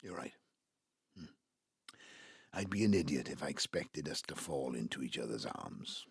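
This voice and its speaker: An actor playing a jovial Welsh father of seventy-five in an audio drama, with a South Wales Valleys accent, a husky gravelly voice and an effusive, jokey manner. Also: a bass voice, big pitch swings, moderate pace, no echo, clean studio sound, exaggerated voice. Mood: conciliatory. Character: ageing Welsh father, jovial rogue seeking to make amends. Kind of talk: naturalistic audio drama acting.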